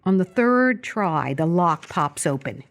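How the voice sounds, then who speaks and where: Female narrator, slightly low register, measured descriptive delivery of scripted stage directions for live radio theatre. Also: lively intonation.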